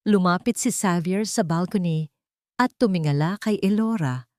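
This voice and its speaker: A woman's voice: on the low side, normal speed, a little mellow, dry recording, clean studio sound. A woman storyteller giving a dramatic scripted narration of a romantic tale.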